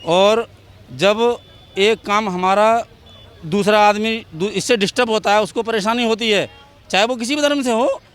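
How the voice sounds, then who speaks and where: A man's voice: sits high, unhurried, fairly bright tone, smooth. A man giving his opinion in a street interview outdoors, speaking emphatically and repeating his points. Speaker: member of the public giving opinion.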